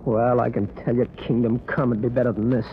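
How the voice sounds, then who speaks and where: Man acting nervous slangy male citizen, mid pitch, agitated, 1930s radio play, narrow old recording, faint noise. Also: little pitch movement, fast, lightly acted. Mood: jittery. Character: jittery, slangy ordinary city man.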